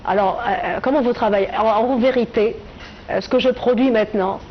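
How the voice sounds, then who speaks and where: A middle-aged woman from Tunisia, a writer and translator, answering interview questions in a reflective way with many hesitant pauses. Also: on the high side, highs cut off, a little hiss or hum.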